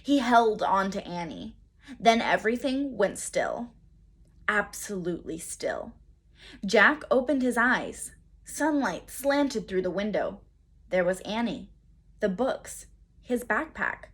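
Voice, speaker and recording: A woman teacher reading a children's storybook aloud in a dramatic, deliberate way, pausing for emphasis. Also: mid-range voice, very animated, a bit bright, slightly rough.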